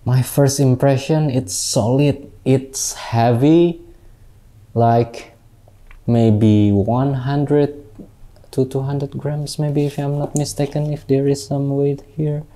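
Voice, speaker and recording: A young Indonesian man with an Indonesian accent, a tattoo artist, unboxing gear in halting English, recorded on a lapel mic in a small studio. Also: mid-range voice, fairly even intonation, mellow. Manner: unhurried, halting non-native English with many fillers. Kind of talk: casual product unboxing.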